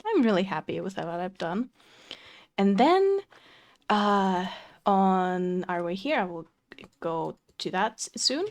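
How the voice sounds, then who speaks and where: A young woman from Finland, chatting casually with fillers and soft laughs while touring her game build. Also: medium pitch, sing-song, slow pace, pauses often. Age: young adult. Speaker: Minecraft let's play creator. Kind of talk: casual gameplay commentary.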